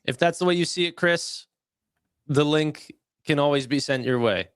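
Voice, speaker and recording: A man co-hosting a casual live discussion, giving opinions informally and haltingly. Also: fairly high pitch, clean tone, no room sound, studio quality. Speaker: live stream co-host. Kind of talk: casual chat commentary.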